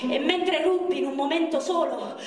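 A woman reciting a verse fable as a dreamy storyteller, with a Roman accent and lyrical, drawn-out phrasing. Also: sits high, natural voice. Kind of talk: slow theatrical poetry recitation. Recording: very echoey, distant, loud music behind. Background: loud music.

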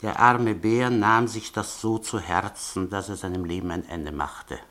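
A smarmy blackmailing informant. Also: male voice, low voice, fairly even intonation, a little slow, a bit bright. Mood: mocking storytelling. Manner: oily, mocking politeness, tells threats as a fairy tale. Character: smarmy male blackmailing informant, sly and mocking. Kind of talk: radio play acting.

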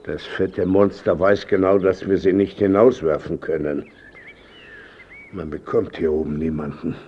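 A man's voice: sits low, fairly even intonation, unhurried, darkish tone, lo-fi sound, slight background noise. An actor playing a cantankerous, frail old male painter in a radio drama, grumbling, curt and imperious. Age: older.

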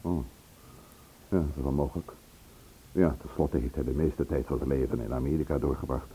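A composed, calm man in an old radio drama. The voice sits very low and moves quickly in clipped phrases, over clear background noise. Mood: subdued, thoughtful. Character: calm, reassuring man, brother of a murder victim. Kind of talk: Dutch radio play dialogue. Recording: noisy old radio recording.

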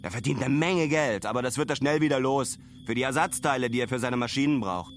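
A man's voice: mid-range voice, quick pace, slight background noise, close to an everyday voice. An actor playing a reflective male traveller, telling his story colloquially and musingly in a radio play monologue.

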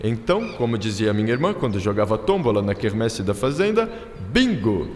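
A man's voice: fairly low pitch, big pitch swings, brisk, a little mellow. A man playing a courteous, proud Brazilian inventor-aviator in a radio drama, explaining science theatrically with French words sprinkled in. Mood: enthusiastic, explaining. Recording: roomy reverberant sound with music behind.

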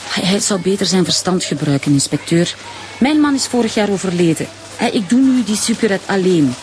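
A woman's voice: mid-range voice, expressive, fairly quick, darkish tone, slight background noise, close to an everyday voice. An actress playing a proud, middle-aged widowed Turkish shopkeeper and protective mother in a radio drama, emphatic and indignant. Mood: weary, firm. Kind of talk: naturalistic radio drama acting.

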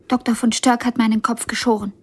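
An actress in a radio drama playing a blind young pianist, wounded yet ambitious and frank, with a halting, intense delivery. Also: female voice, on the high side, level tone, husky, soft background music. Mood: pained recollection.

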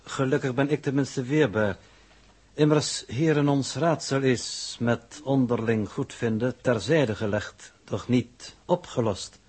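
An actor in a 1960s radio drama playing an imperturbable, logical expedition coordinator who speaks formally and precisely. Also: male voice, mid-range voice, measured pace, darkish tone, breathy edge. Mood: unruffled, formal.

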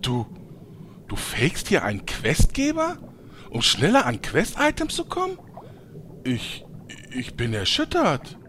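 A man's voice: a little high, huge pitch swings, measured pace, long pauses, a bit bright, slight background noise, heavily acted. A man playing a cheeky freeloading gamer, theatrical in manner, in a comedic audio drama. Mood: mock outrage. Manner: theatrical, sheepish then indignant. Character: cheeky freeloading male player in online game parody.

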